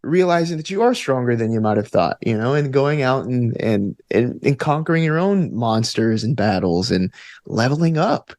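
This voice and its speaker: A man's voice: mid-range voice, animated, moderate pace, a little mellow, no echo. Earnest man with a dry close sound. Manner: earnest, thinking aloud, frequent "like" and "you know". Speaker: podcast guest, actor and tabletop gamer. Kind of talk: reflective conversational interview answers.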